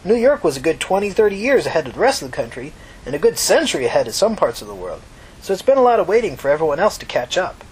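Man voicing a high-pitched, folksy old woman. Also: very animated, fast, a little hiss or hum, low-quality recording. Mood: reflective, encouraging. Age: older. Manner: folksy, reflective, reassuring. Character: kindly, talkative old New York mother, resilient.

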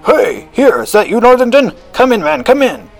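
A man voicing an anxious, excitable elderly gentleman in a storybook, with dramatic, old-fashioned formal speech. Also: mid-range voice, fairly quick, breathy edge, soft background music. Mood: hearty, calling out.

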